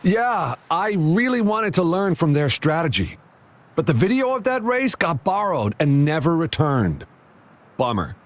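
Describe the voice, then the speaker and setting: A man playing an eager, excitable young rookie racer in a video game, with a boyish, exclamatory manner. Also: on the high side, unhurried. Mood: bummed, curious.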